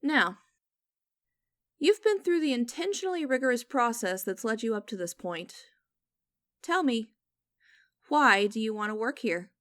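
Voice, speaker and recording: A stern young female boss, acted by a woman in an audio roleplay, with a fairly high voice recorded dry and close. She speaks formally and deliberately, teasing and condescending, with a vengeful edge. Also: very animated, a bit bright, no room sound. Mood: cool, probing. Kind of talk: scripted ASMR-style audio roleplay.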